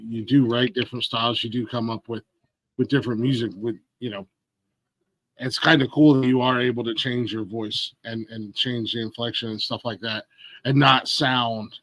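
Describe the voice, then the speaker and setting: A man's voice: medium pitch, steady tone, moderate pace, fairly bright tone, dry recording, poor audio. Chatty middle-aged man, dry sound. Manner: chatty, laughs easily, restarts questions. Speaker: podcast host. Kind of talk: casual interview chat.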